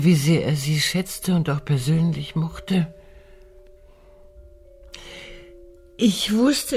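A woman playing an anxious, dignified widow who leads an expedition in a German radio play, speaking in a hesitant, formal, deliberate way with a husky voice. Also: low-pitched, dark tone. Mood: confiding, hesitant. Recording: music under the voice.

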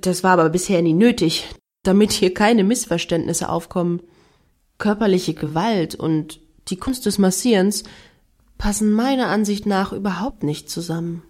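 An actress playing a composed, playfully self-justifying woman in a satirical radio monologue, measured and precise. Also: medium pitch, a little mellow, close to an everyday voice. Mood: mock-earnest.

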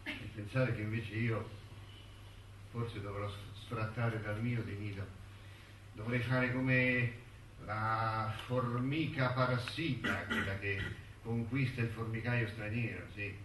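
Stage monologue: elderly, wistful, bitter man of letters; slow, halting, musing delivery; echoey, distant recording. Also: fairly low pitch, fairly even intonation, fairly bright tone, slight background noise. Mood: musing, weary. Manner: halting, musing, with rhetorical tags and repetitions. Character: elderly male intellectual and ant lover, wistful, bitter, reminiscing. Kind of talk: theatrical monologue in a radio-broadcast play.

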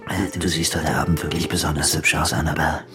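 A man's voice: rumbling low, flat delivery, quick pace, pushed and bright, soft background music, full character voice. An actor playing a deluded, lonely man in a radio play, chatting to an imagined sweetheart with murmured questioning tags, swinging from tender to curt. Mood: giddy, doting.

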